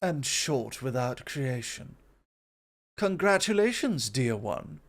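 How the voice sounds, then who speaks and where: A man voicing a proud, composed god of creation in an ASMR roleplay, speaking in a measured, formal, self-assured way. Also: mid-range voice, sing-song. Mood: intrigued, assured.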